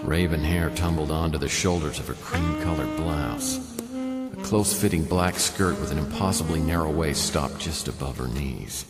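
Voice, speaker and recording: An actor playing a wry, world-weary private detective in a noir radio drama, narrating in a deadpan, sardonic way. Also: male voice, extremely low pitch, normal speed. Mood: wry, intent. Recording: roomy long reverb under loud music.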